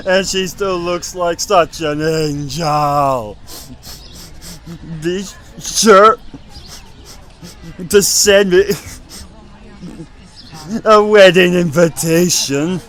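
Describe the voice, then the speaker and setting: A man's voice: a little high, fairly bright tone, full character voice. A man playing a playful, affectionate archangel big brother in an audio drama, with a theatrical, teasing manner. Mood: playful, doting. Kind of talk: audio roleplay drama acting.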